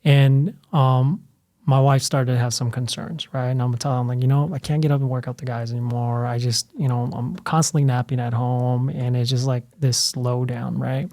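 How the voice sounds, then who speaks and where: A middle-aged man telling his story in a relaxed podcast interview, full of "you know" fillers. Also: medium pitch, moderate pace, no echo, clean studio sound. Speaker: guest, fire academy training cadre member.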